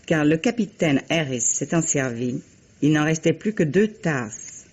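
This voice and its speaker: A dull vintage radio recording of a composed, clever wife. She sounds low and husky for a woman, articulate and gently ironic in 1950s mystery-drama style. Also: lively intonation, unhurried, exaggerated voice. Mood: explaining. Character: composed, clever wife who survived her poisoner.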